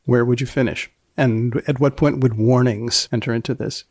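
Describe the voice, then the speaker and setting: A man hosting a podcast, asking probing questions and reading narration in a deliberate, deadpan way. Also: mid-range voice. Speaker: podcast host. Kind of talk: scripted narration and deadpan interviewing.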